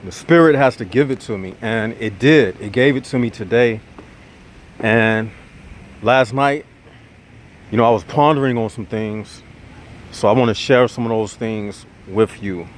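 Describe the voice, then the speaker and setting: A man's voice: lowish voice, unhurried, a little hiss or hum. A man hosting his own channel, talking straight to his viewers in a casual, preachy way, slightly nasal from a cold, with a lilt. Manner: preachy, animated, addresses viewers as y'all. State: nasal, getting over congestion. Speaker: channel host.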